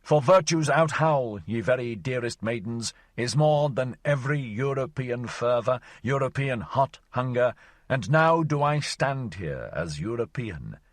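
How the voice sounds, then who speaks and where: A man's voice: medium pitch, animated, measured pace, no room sound, studio quality. A man reading the part of a wry, theatrical wandering shadow in an audiobook, with a mock-solemn, declamatory delivery. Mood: declaiming. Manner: declamatory, mock-solemn recital with sudden exclamations. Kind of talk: audiobook reading of archaic verse.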